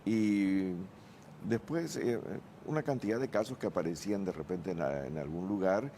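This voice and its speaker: A man speaking as a forensic psychiatrist on a TV panel, explaining cases in a measured, factual way. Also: sits low, warm tone, a little hiss or hum. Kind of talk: studio panel discussion.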